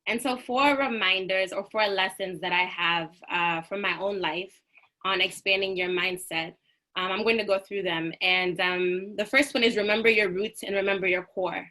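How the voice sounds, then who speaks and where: A young woman from the United States gives a prepared talk over a video call. Her voice is bright and clear, and her delivery is earnest and reflective, the way a lecturer speaks to a class. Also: mid-range voice, steady tone, moderate pace. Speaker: panel speaker.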